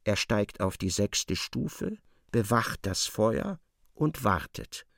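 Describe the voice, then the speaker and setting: A grave, intense narrator of a literary radio drama. His voice has a creaky, gravelly edge and moves slowly and deliberately in a dry studio. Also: on the low side, big pitch swings, fairly bright tone, dry recording, heavily acted.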